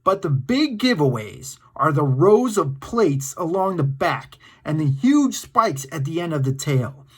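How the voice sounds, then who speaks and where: A man's voice: a little high, sing-song, measured pace, breathy edge. A man reading a factual children's book aloud as storytime host, breaking into informal, encouraging asides to his young listeners. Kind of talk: read-aloud with casual asides to kids.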